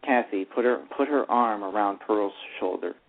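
A man's voice: lowish voice, normal speed. A man narrating a live radio play, often stumbling and restarting his words. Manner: reads with frequent stumbles and restarts. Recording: tinny phone-line radio broadcast.

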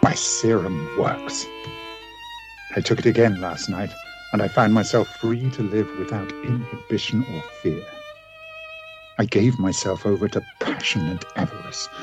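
A man playing a cultured, secretive gentleman doctor in a radio play, speaking formally and theatrically. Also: fairly low pitch, sing-song, normal speed, over music, rough recording. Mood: brooding, confiding. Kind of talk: radio play acting, journal monologue.

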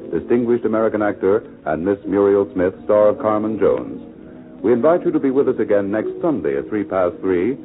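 A man's voice: on the low side, phone-quality sound. A man working as a radio announcer, reading scripted credits in a formal 1940s broadcast style.

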